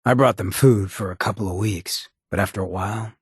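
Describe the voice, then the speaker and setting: A male actor voicing a roguish, streetwise ghoul companion in a video game, blunt but loyal, with a gravelly rasp and colloquial speech. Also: lowish voice, a little fast, no room sound, studio quality. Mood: bitter, reflective. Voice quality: raspy, gravelly. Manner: streetwise, colloquial, swearing drawl. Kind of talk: video game dialogue acting.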